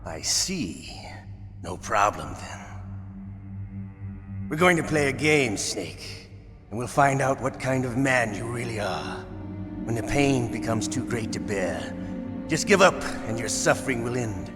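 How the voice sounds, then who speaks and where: Sharp, taunting, sadistic interrogator. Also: male voice, medium pitch, animated. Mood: menacing. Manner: taunting, theatrical, drawn-out menace. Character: sadistic, theatrical gunslinger interrogator, menacing older man. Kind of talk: dramatic video game voice acting. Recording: reverberant hall, music underneath.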